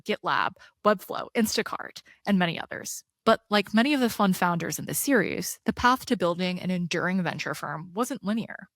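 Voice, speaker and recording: Woman podcast host, lower voice, dry sound. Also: dry recording. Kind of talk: interview.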